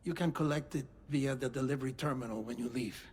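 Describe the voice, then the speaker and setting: An actor playing an earnest, gentle middle-aged scientist in a cinematic video game, speaking in a deliberate, formal, explanatory way. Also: male voice, medium pitch, slight background noise, natural voice. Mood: tender. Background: music.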